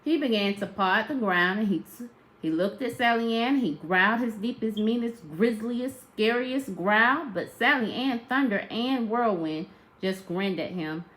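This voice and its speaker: A woman narrating a children's tall tale aloud like a teacher, with expressive, emphatic storytelling. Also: on the high side, a little slow, rough recording.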